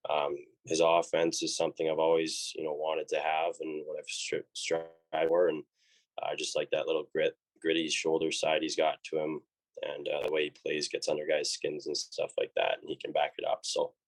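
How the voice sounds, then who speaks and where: A man's voice: rumbling low, completely flat delivery, normal speed, poor audio. A young man with a Canadian accent, a college hockey player answering interview questions in a laid-back way, full of fillers and restarts. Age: young adult.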